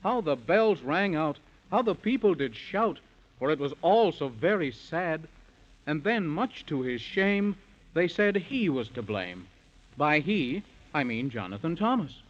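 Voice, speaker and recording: A man's voice: on the high side, sing-song, measured pace. A man narrating a children's story in sing-song rhyming verse, with a theatrical 1930s radio delivery. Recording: muffled lo-fi 1930s radio recording.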